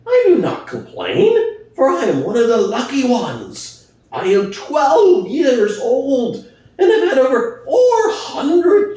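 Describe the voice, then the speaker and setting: A man's voice: very high voice, a little mellow, full character voice. A man voicing an old, wise boar who gives a rousing revolutionary speech in a dramatic book reading. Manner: impassioned speechmaking, rhetorical questions, swelling emphasis. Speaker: reader. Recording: very echoey room, far from mic.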